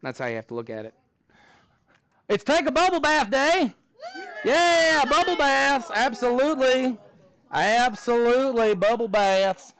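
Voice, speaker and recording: High-voiced Texan math teacher. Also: male voice, a bit bright, dry recording. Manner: chatty, joking asides to students. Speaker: middle school math teacher. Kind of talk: classroom teaching. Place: school classroom.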